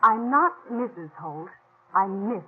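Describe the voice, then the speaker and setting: A woman's voice: mid-range voice, expressive, a little slow, husky. An actress playing a proud young woman travelling by stagecoach, cool and sarcastic, in a 1950s western radio drama. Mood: cool, curt. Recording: tinny old radio broadcast, faint noise.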